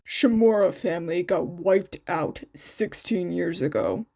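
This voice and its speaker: A woman's voice: lowish voice, a little slow, mellow, pitched below the performer's own voice. A woman voicing a serious, skeptical adult male investigator in a fan fiction audio story. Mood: disbelieving.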